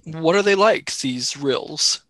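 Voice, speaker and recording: A woman's voice: deep voice, expressive, slow, dry recording. A direct young space traveler, acted by a woman in a radio play, recorded dry. The delivery is blunt, suspicious and questioning. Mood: probing, blunt.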